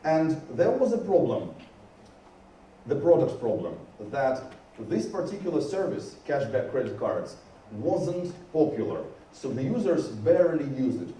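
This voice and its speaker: A man's voice: medium pitch, mellow, distant mic. Man giving a talk in a hall. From Georgia. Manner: earnest, frequent restarts, rises with emphasis. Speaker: conference speaker. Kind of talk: conference talk. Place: conference hall. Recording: roomy hall, speaker away from mic, faint noise.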